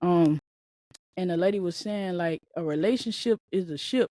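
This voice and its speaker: A woman's voice: on the low side, normal speed, mellow, dry recording. A woman in her late twenties hosting a solo podcast, talking casually and emphatically with lots of repetition, like a motivational pep talk. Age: 20s. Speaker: podcast host.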